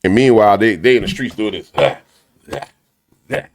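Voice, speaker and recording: A man hosting a commentary show, ranting loudly and emphatically with a repetitive, preacher-like cadence. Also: fairly low pitch, lively intonation, normal speed. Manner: loud, big voice. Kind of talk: fiery commentary rant.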